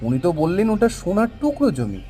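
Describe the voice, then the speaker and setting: A wealthy city buyer in a cartoon tale, surprised and doubting at every turn, played by a man. His pitch leaps around a lot, yet the tone stays mellow. Soft music plays beneath. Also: wildly swooping pitch, fast talker. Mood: skeptical. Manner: surprised, questioning, dismissive.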